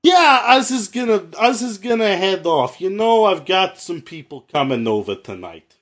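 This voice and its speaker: A man's voice: high voice, very animated, normal speed, a bit bright, heavily acted. A man voicing a brash, rambling podcast host, with an exaggerated New Jersey accent that slides into Russian and mangled grammar. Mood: breezy. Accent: exaggerated New Jersey sliding into Russian. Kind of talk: comedic in-character podcast hosting.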